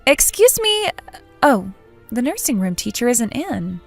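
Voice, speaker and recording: A woman's voice: fairly high pitch, sing-song, a little slow, creaky, faint music behind, exaggerated voice. A woman playing a sociable but nervous, lovesick schoolgirl in a manga dub, with an emotive, hesitant delivery. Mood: timid concern. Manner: emotive, self-doubting, hesitant. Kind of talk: dramatic manga dub narration and dialogue.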